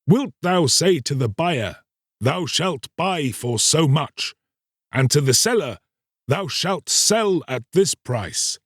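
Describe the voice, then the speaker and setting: Man as a bitter, husky poor commoner. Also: on the high side, lively intonation, slow pace, no room sound, clean studio sound, voice pushed up, heavily acted. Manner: rhetorical, challenging, archaic speech.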